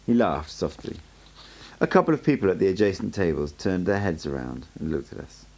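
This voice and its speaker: Guy reading a book out loud for fun, low rough voice, steady storyteller, lots of pitch movement. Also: a little fast. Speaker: audiobook reader. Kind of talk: amateur audiobook narration.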